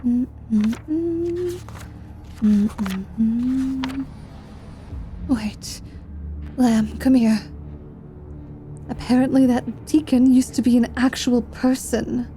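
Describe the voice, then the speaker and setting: A woman's voice: a little high, extremely slow, pauses often, warm tone, slight background noise. A woman playing a wry, foul-mouthed adventurer and reluctant hero in an intimate ASMR fantasy roleplay. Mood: curious.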